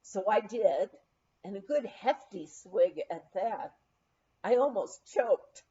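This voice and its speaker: A woman reading a humorous memoir aloud in an unhurried storytelling manner. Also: mid-range voice, expressive, dark tone. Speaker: reader. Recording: dull lo-fi audio.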